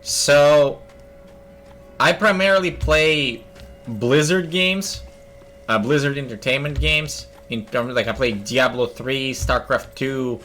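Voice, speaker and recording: Young man, gaming commentary, slow unhurried talk, jokey, thinking aloud, faint game music behind. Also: mid-range voice, a bit bright. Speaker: streamer.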